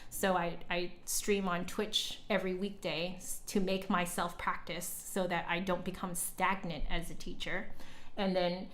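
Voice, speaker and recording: A woman's voice: on the low side, little pitch movement, unhurried, a bit bright. A woman livestreamer and online teacher chatting casually with viewers in a candid, informal way.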